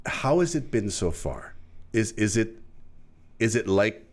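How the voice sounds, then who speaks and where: A man's voice: on the low side, big pitch swings, measured pace. A man hosting an interview, asking long, rambling questions and offering frequent affirmations. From Hawaii. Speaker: host. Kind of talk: casual interview conversation.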